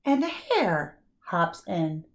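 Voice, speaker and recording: Very slow, expressive woman storyteller. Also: fairly low pitch. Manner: deliberate, dramatic pauses and emphasis. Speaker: kindergarten teacher. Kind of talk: expressive children's storybook read-aloud.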